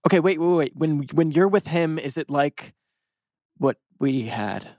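A man's voice: fairly high pitch, expressive, a little slow, a little mellow, no room sound, studio quality. Awkward lovesick teenage boy, hesitant man acting. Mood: pleading. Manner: hesitant, stammering. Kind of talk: table read acting.

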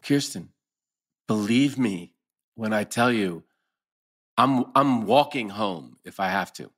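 Actor as wry, loyal married man in a TV drama, low-pitched, taking his time. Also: expressive, slow. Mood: earnest, reassuring. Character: easygoing, loyal middle-aged married man, gently wry. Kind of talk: live table read of TV drama script.

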